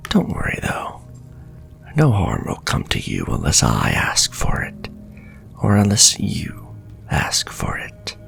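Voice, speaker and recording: Man acting out a very deep, gravelly whispering warlock. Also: wildly swooping pitch, a bit bright, soft background music, heavily acted. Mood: possessive, soothing. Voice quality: breathy, gravelly low whisper. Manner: slow, intimate, menacing endearments. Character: sadistic, flirtatious male warlock, possessive and smooth-talking. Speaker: ASMR roleplay performer. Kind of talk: whispered ASMR fantasy roleplay. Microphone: close ASMR microphone.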